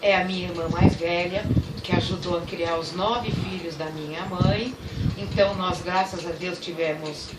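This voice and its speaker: A woman's voice: fairly low pitch, steady tone, moderate pace. An older woman telling stories about her childhood in a fond, storytelling manner. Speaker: interviewee. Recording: echoey room, distant mic, loud noise.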